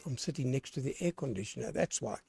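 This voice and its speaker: A hoarse man running an amateur radio net, talking in a lively on-air way and spelling callsigns with the phonetic alphabet. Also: mid-range voice, fast, no echo. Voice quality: gravelly.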